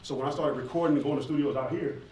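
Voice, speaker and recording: Male American, candid and informal Q&A speaker, rapid delivery, very reverberant room with distant mic. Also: on the high side, a little mellow. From southeastern United States, near Atlanta. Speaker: hip hop artist and former college athlete answering questions. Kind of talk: casual audience question-and-answer talk. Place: large echoey indoor room at a live event. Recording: echoey hall, distant mic, faint noise.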